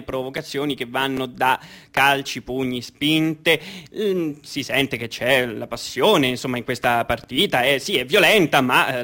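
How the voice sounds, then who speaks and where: A man's voice: medium pitch, bright, forceful tone, lightly acted. An actor playing a fervent, patriotic male football commentator in a radio play, declamatory and repeating phrases for emphasis. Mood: uneasy, excusing.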